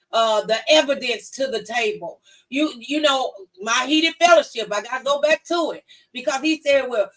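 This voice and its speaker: A woman with a high voice hosts an opinion talk show, sounding a little off the mic. Her delivery is conversational and folksy, with emphatic repeated phrases. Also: fairly bright tone, far from the mic, low-quality recording.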